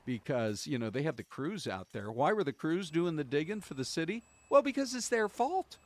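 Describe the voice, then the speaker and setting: A man's voice: fairly high pitch, sing-song, moderate pace, no room sound. An American man co-hosting a talk show, chatting casually about news and weather in a folksy way.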